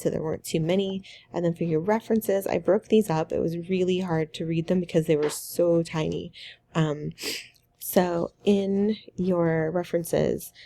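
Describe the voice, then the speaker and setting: A woman's voice: sits low, mellow. A middle-aged woman professor giving recorded feedback on a student project, her voice scratchy from a cold, encouraging and full of "um"s and restarts. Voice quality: gravelly.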